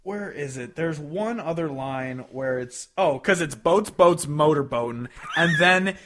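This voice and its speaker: A man's voice: fairly high pitch, expressive, unhurried, background noise. A young man co-hosting a podcast, chatting casually in a sardonic, sweary way. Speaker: podcast co-host. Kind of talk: casual banter.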